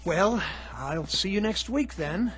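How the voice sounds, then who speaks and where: Courteous, higher-voiced gentleman visitor. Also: expressive, takes it slowly, soft background music, exaggerated voice. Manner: courteous, chatty. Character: polite male visitor making courteous small talk. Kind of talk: radio drama acting.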